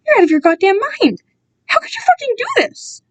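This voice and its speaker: A woman's voice: extremely high pitch, very animated, brisk, pitched above the performer's own voice. A woman voicing a desperate, defiant male captive with an urgent, strained manner in a dramatic story reading. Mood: outraged, defiant.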